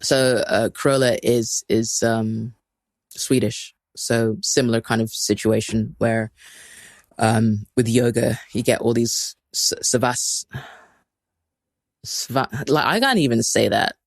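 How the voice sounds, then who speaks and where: A podcast host from Kenya who talks in loose, rambling runs full of "like" and laughs at themself. The voice sits at a middling pitch and sounds dry and close, with no room echo. The tone turns motivational between self-mocking asides. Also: fairly even intonation, slow pace. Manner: rambling and chatty, heavy use of "like", laughs at self. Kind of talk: casual solo live-stream talk.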